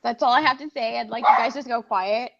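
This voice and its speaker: Gravelly woman losing her voice. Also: fairly high pitch, fast, very noisy. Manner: chatty, laughs often. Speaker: host. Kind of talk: casual group chat on a stream. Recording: dull lo-fi stream audio.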